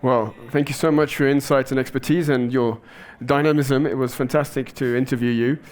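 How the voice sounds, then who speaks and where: A man's voice: mid-range voice, steady tone, a little fast, darkish tone. A man moderating a conference panel, politely thanking the guests and taking questions from the floor. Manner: polite, formal wrap-up. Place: conference stage before an audience.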